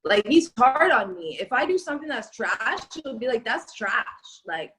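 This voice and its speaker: A woman's voice: fairly high pitch, big pitch swings, moderate pace, fairly bright tone, low-quality recording. A young woman from Canada, a rapper, chatting casually in an interview and filling her talk with "like" and "um". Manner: chatty, lots of "like" and "um". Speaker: rapper guest.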